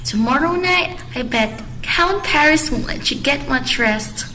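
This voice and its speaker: A man's voice: extremely high pitch, expressive, bright, forceful tone, full character voice. A man voicing a fussy, exclamatory female nurse in an amateur radio drama. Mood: teasing, coaxing. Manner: exclamatory, drawn-out calling. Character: fussy, teasing female nurse, loudly grieving in alarm.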